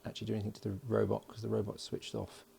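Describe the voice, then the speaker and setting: A man giving relaxed, matter-of-fact running commentary as he solders at a home workbench, recorded by an overhead camera. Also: fairly low pitch, racing, vocal fry, a little hiss or hum. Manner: mutters along while working, relaxed and matter-of-fact. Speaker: electronics hobbyist. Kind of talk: casual build commentary.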